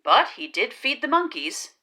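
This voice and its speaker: A woman puts on a put-out male zookeeper from a children's story. He is blunt and exclamatory, in a bright, forceful voice with big jumps in pitch. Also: big pitch swings, unhurried, full character voice. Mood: grudging.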